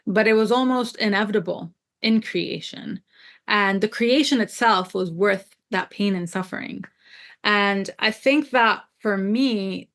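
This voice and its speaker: A woman's voice: mid-range voice, gravelly, fairly bright tone. A woman from Jerusalem who moved to the US at ten, a native Hebrew speaker, talking reflectively and explaining her ideas as an interview guest. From born in Jerusalem, moved to the US at ten. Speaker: podcast guest, scholar and artist.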